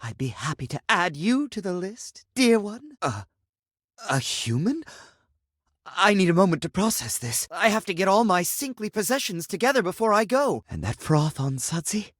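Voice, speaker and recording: A man's voice: high voice, very animated, moderate pace, a bit bright, no echo, clean studio sound, full character voice. A man voicing an eccentric living sink in a video game, courtly and lovelorn, with florid, theatrical, archaic phrasing. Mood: affectionate, dramatic. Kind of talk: video game character voice acting.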